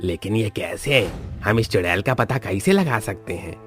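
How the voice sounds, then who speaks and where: A man's voice: lowish voice, lively intonation, normal speed, fairly bright tone, faint music behind. A man playing a suspicious, questioning villager in an audio horror story, inquisitive and accusatory.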